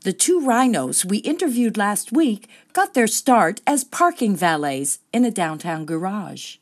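A woman's voice: mid-range voice, sing-song, a little slow. A woman playing an earnest nature magazine presenter, narrating a mock-serious parody documentary.

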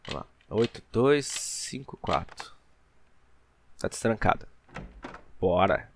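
A man's voice: lowish voice, animated, takes it slowly. A young man with a Brazilian accent casually commenting on a game with joking, slangy asides. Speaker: gaming channel host. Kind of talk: casual let's play commentary. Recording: noisy, game sounds behind.

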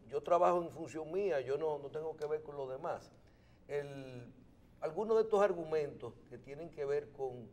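A man's voice: medium pitch, darkish tone. A middle-aged man hosting a TV interview in a studio, building long questions with hesitant restarts, on a lapel mic. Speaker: TV host.

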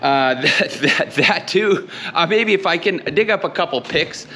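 A man's voice: on the high side, expressive, measured pace, bright, forceful tone, slight background noise. A man in his thirties from Canada talks casually to a handheld camera in a large indoor workshop, folksy and rambling with many fillers and restarts. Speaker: channel host and tool business owner. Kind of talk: casual vlog talk to camera. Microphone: camera held at arm's length.

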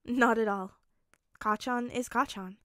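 A woman voicing a kind, earnest teenage boy with a soft, lightly teasing way of talking, in a story reading. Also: a little high, fairly even intonation, measured pace, no room sound, studio quality. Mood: reassuring, earnest. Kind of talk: acted audiobook-style fan fiction reading.

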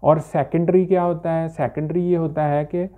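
A man, a homeopathic doctor giving an informal, halting medical explanation to camera with English terms mixed in. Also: on the high side, flat delivery. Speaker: homeopathic doctor presenting a health video. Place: small furnished indoor room. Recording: dull, limited-bandwidth phone-like sound.

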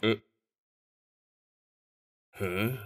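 Man acting husky, menacing gangster. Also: on the low side, very slow. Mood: menacing, irritated. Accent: Latin American Spanish. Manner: menacing, blunt, drawling. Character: intimidating adult male gangster, gruff and short-tempered. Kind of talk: fan-dubbed webtoon acting.

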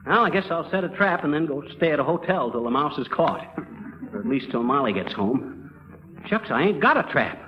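An actor playing a jumpy, wisecracking homebody with an American accent and a folksy manner in 1930s radio comedy. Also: male voice, on the high side, a little fast. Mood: scheming. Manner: folksy, excitable. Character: jumpy, wisecracking male homebody afraid of mice. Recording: noisy, muffled 1930s radio recording.

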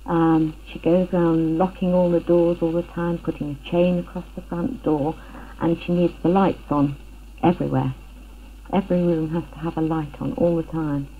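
A middle-aged woman giving a personal account in an interview, recorded in a living room. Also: on the low side. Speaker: interviewee, burglary victim. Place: living room at home, indoors. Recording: tinny phone-like 1970s film sound, noisy.

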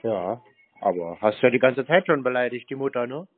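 A man's voice: mid-range voice, expressive, moderate pace. Male scam-baiter, unruffled and dryly needling, casual delivery, telephone-band audio. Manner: unfazed, dryly provoking, nonchalant. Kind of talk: casual phone conversation. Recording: dry, narrow phone-like sound.

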